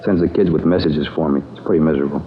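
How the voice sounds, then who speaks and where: A henpecked American detective with a low voice and a brisk, chatty delivery. He is folksy and dryly grumbling, in old radio drama style, on a dull recording. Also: fairly even intonation. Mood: glum grumbling. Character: grumbling, henpecked married male police detective partner. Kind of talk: 1950s radio drama acting. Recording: dull vintage radio, faint noise.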